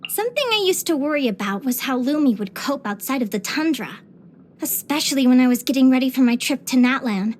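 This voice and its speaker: A woman voicing a cheerful, curious young adventurer and naturalist in a video game, with an earnest, expressive delivery. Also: high-pitched, a little fast, a little hiss or hum. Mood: earnest, reflective. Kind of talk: video game character voice lines.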